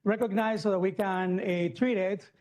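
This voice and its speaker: A man's voice: high voice, steady tone, normal speed, darkish tone. A middle-aged man from Peru, a surgeon giving a chatty medical lecture, full of fillers and restarts.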